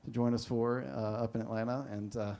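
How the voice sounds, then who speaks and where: A man's voice: medium pitch, little pitch movement, brisk, mellow. A man moderating a panel, introducing the speakers in a rambling, self-deprecating way. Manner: rambling, self-deprecating, many fillers and restarts.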